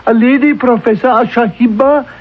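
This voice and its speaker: An actor playing a genial, exclamatory crown prince of a small mountain country in a 1970s radio drama. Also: male voice, very high-pitched, steady tone, moderate pace. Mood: delighted, breezy. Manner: jovial, exclamatory, grand. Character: genial, six-foot crown prince of a poor Himalayan country. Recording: tinny, band-limited old radio broadcast.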